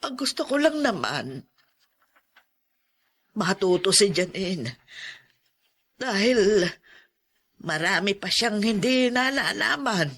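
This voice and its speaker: A woman's voice: mid-range voice, very animated, takes it slowly, long pauses, a bit bright, heavily acted. A woman playing an older aunt in an audio drama, hurt and reproachful yet loving, plaintive and emotional. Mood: tearful, loving. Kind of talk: melodramatic radio-style drama acting.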